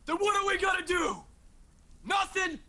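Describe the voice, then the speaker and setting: An actor playing a hot-headed, defiant young Appalachian son in a radio drama, brash and impatient. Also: male voice, extremely high pitch, animated, moderate pace, bright, forceful tone, raised voice, heavily acted. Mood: impatient, angry.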